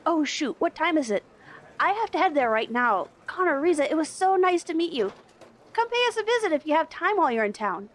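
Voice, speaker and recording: An actress playing a friendly, eager young art student in an audio drama, chatty and welcoming. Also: female voice, high voice, sing-song, brisk. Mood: hurried, friendly. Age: young adult.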